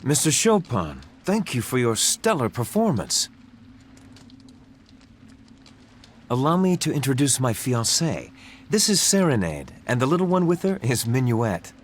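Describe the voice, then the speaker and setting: An actor playing a dutiful young prince in a video game cutscene, speaking courteously and gravely. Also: male voice, mid-range voice, huge pitch swings, moderate pace, slight background noise.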